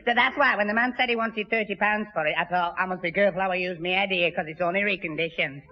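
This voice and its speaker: A man acts a daft young Northern English boyfriend in a sixties radio comedy. He talks very fast in a high voice and tangles himself up earnestly. The old recording is muffled. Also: big-room sound, close to an everyday voice. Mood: muddled. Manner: muddled, stumbling explanations. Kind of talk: 1960s radio sitcom acting.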